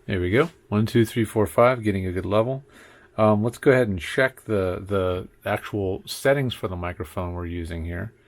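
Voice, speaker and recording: A man's voice: fairly low pitch, normal speed, no room sound. A man giving a casual tech tutorial in his home studio, talking into a condenser mic on a desk arm and counting off mic checks. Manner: relaxed, chatty, frequent mic checks and counting. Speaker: tech reviewer. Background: faint computer hum.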